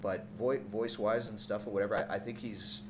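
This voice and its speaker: A man's voice: on the low side, fairly even intonation, fairly quick. A man co-hosting a podcast in casual banter, joking crudely and often repeating the start of his phrases. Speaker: podcast co-host. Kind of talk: casual banter and pop music commentary. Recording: noisy room recording.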